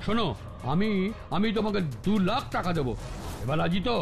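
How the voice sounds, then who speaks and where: A man's voice: high voice, expressive, brisk, gravelly, faint music behind. A man voicing a devious, ingratiating running coach in a cartoon, hesitant and repeating himself. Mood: wheedling. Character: scheming, wheedling male running coach, eager and devious. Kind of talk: cartoon voice acting.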